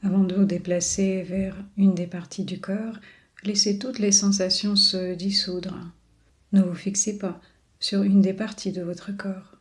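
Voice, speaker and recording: Female meditation guide, mid-range voice, very slow and soothing, leaves long pauses. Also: medium pitch, level tone. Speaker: yoga and relaxation guide. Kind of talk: guided body scan meditation.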